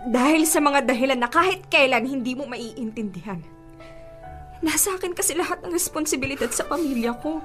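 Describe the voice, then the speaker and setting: A woman's voice: high voice, expressive, fairly quick, a bit bright. A woman with a Filipino accent acting an evasive, pleading young girlfriend in a radio drama, defensive and imploring. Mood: guilty, pleading. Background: dramatic background music.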